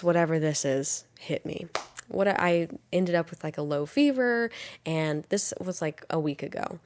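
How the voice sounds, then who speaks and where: A young woman talks through her solo podcast in a loose, rambling way, full of fillers and small laughs. Her voice sits a little low for a woman and sounds stuffy and congested, as if her sinuses are blocked. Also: a little mellow. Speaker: podcast host. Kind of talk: casual solo podcast chat.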